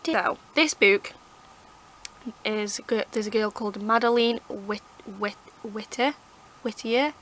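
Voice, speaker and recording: A woman with a croaky, hoarse throat, rambling chattily as she reviews her favourite books to camera. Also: medium pitch, takes it slowly, a bit bright, slight background noise, low-quality recording. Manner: rambling, chatty, lots of fillers and restarts. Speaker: book vlogger. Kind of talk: casual book review chat. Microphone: camera mic.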